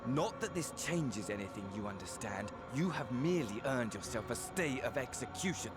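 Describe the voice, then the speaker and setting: A man's voice: medium pitch, expressive, normal speed, music in the background, close to an everyday voice. An actor playing a theatrical, unhinged male villain in a video game, smug and mocking. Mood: smug menace.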